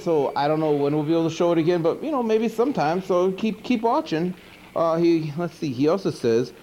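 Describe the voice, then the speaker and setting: A man playing a goofy, good-natured monster who hosts a horror movie show, rambling casually and hesitantly with deadpan asides. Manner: rambling, hesitant, laid-back deadpan asides with pitch swoops. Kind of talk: casual comic hosting monologue. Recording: dull noisy 1980s VHS tape.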